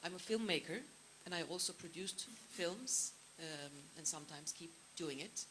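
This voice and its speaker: Measured woman filmmaker, low-pitched. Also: a bit bright, slight background noise, low-quality recording. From Turkey. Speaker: filmmaker and producer. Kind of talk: panel talk.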